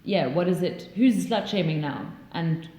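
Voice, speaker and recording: A woman's voice: fairly low pitch, measured pace, dark tone. A woman in her twenties hosting a casual podcast chat, rambling with fillers and restarts. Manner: chatty, many fillers and restarts, laughs easily. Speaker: podcast host. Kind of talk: casual conversation. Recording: roomy echo.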